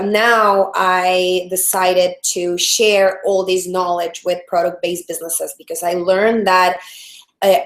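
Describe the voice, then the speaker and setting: A woman with a Salvadoran Spanish accent and a mid-range voice leads a live online training. She talks in a friendly way and often restarts her sentences. Her voice carries a noticeable room echo. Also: medium pitch. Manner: friendly, many fillers and restarts. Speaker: product strategist, webinar host. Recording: roomy echo.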